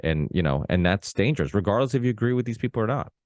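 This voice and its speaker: Deep-voiced man rambling on a podcast. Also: animated, quick pace, a little mellow, no room sound. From Portugal. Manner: rambling, many fillers and restarts. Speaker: podcast host. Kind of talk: casual conversational chat.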